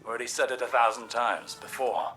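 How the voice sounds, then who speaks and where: A man acting a stern, pained scholar with a tender side in a video game, measured and halting in delivery. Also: mid-range voice, little pitch movement, a bit bright, distant mic, slight background noise, close to an everyday voice. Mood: tender, reluctant.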